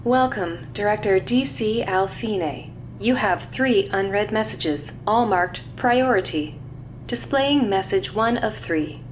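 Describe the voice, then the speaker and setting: A woman's voice: expressive, slow. A woman voicing an official computer system that reads intelligence files aloud in a formal, impersonal, clipped manner. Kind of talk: scripted dossier read-out. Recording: dull lo-fi audio, faint rumbling noise.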